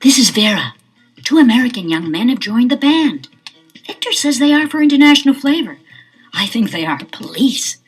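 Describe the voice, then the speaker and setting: A woman's voice: on the high side, sing-song, moderate pace, faint music behind. An actress playing a scheming female road manager, cool and formal in her speech. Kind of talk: 1970s children's audio drama.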